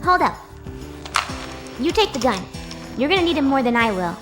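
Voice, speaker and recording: Woman playing a high-voiced, bossy caper schemer. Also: big pitch swings, moderate pace, over music. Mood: scheming. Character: bossy, foul-mouthed schemer in a crime caper. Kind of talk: amateur dramatic film dub.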